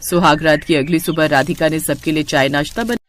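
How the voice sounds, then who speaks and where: A woman's voice: sits low, fairly even intonation, brisk, slight background noise. A woman reading scripted storytelling narration for a cartoon tale.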